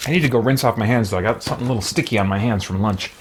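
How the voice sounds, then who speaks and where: A man's voice: on the low side, very fast, heavy background noise. A man in his thirties giving casual let's play commentary on a headset in a small room. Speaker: streamer playing a role-playing game. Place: small indoor room with bookshelves.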